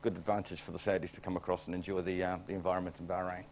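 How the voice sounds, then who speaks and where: A man with a New Zealand accent presents at a conference in a lowish voice, plain and a little wry. He is fighting off a cold and often restarts his phrases. A faint hum sits behind him. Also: little pitch movement, racing, a little mellow. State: coming down with a cold. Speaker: plant director.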